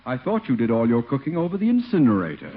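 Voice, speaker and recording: A muffled old radio comedy recording presents a haughty, gloating neighbor. His voice swings animatedly at a middling pitch, drawing out sarcasm with pompous relish. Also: heavy background noise. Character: gloating, sneering, pompous rich neighbor man. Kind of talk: 1940s radio comedy acting.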